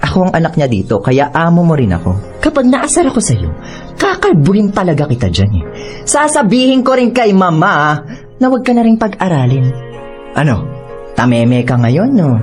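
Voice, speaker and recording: A spoiled young radio-drama mean girl, swooping dramatically through her pitch. She gives haughty, slangy Taglish commands and taunts, with music underneath. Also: wildly swooping pitch, moderate pace, darkish tone. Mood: haughty, threatening. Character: spoiled, mocking young woman bossing her housemaid cousin.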